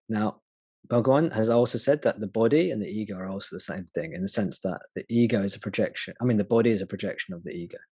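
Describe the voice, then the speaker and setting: A man's voice: on the low side, a little mellow, husky, no echo. A man leading a satsang, explaining spiritual teachings patiently and thinking aloud as he goes.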